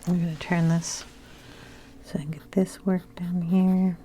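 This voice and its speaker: A woman narrating a coloring tutorial, soft-spoken and unhurried, often slipping into a whisper as she loses her voice. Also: on the low side, little pitch movement, a little hiss or hum. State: losing her voice. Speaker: coloring channel host.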